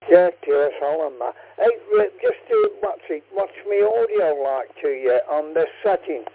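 A man's voice: fairly high pitch, sing-song, normal speed, creaky, slight background noise. A man chatting on an amateur radio frequency, who laughs easily and stumbles over his words. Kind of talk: casual radio chat. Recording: tinny dry radio transmission.

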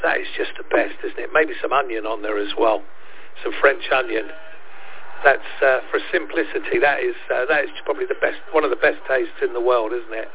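A man with a croaky voice hosting a casual amateur radio chat, friendly and hesitant with fillers. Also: medium pitch, fairly quick. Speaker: radio net host. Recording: tinny radio link with faint hiss.

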